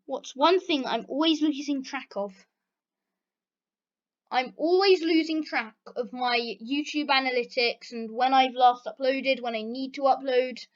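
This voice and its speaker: High-voiced chatty British teen girl. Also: a little slow. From Britain. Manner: chatty, rambling, lots of fillers. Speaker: channel host. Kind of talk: casual Q&A vlog. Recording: dull lo-fi recording.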